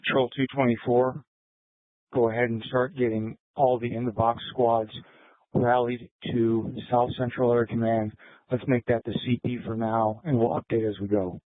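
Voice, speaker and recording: A man's voice: mid-range voice, level tone, moderate pace, no room sound. A man giving composed, procedural instructions as a police commander over the radio. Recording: tinny narrowband police radio transmission.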